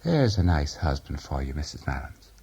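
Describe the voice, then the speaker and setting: A man voicing a lively young wife, chatting in a quick, confiding way. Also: rumbling low, vocal fry, breathy edge, dull audio, pitched below the performer's own voice, exaggerated voice.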